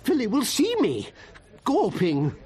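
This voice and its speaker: An actor playing a flustered, indignant English barrister in a radio comedy drama, stammering and plaintive. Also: male voice, extremely high pitch, wildly swooping pitch, takes it slowly, darkish tone, exaggerated voice. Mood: anxious, plaintive. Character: flustered, indignant male barrister, anxious and hapless.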